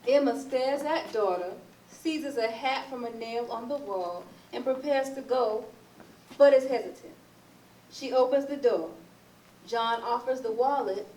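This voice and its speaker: A woman's voice: a little high, a little slow, warm tone. A woman narrator reading stage directions as scripted narration for a theatre reading. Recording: echoey, distant, noisy stage recording.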